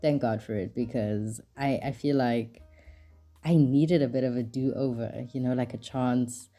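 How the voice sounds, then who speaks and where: Chatty low-voiced woman vlogger. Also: fairly even intonation, measured pace, warm tone, faint music behind. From South Africa. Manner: chatty, lots of "like", laughs often. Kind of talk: casual travel vlog narration.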